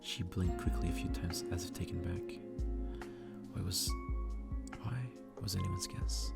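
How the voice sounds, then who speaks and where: A man softly reading a story aloud as ASMR, often breathy and half-whispered, with a gravelly fry. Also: low-pitched, steady tone, unhurried. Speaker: ASMR reader. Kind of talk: soft ASMR reading of a visual novel. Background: music.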